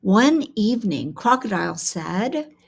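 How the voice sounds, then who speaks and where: Expressive, husky woman reading a storybook. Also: medium pitch. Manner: expressive, pauses to ask questions. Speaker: storybook reader at a school. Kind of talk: interactive children's storybook read-aloud.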